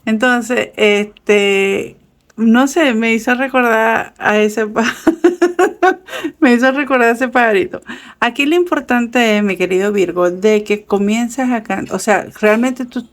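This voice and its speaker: Slow-talking chatty woman. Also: fairly high pitch. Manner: chatty and affectionate, with frequent fillers and soft laughs. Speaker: tarot reader. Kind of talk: casual tarot reading for viewers. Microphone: camera set up over a table with cards.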